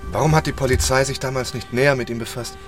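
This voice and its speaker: An actor playing an inquisitive writer who investigates and narrates in a German radio crime drama, probing and curious. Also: male voice, medium pitch, steady tone, fast, music in the background, close to an everyday voice. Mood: pondering.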